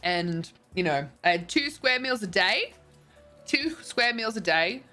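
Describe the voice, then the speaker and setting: A woman's voice: mid-range voice, animated, a little slow, pushed and bright, faint music behind. A tired, congested woman, chatting casually as a streamer and reading viewer messages, rambling with lots of ums and likes.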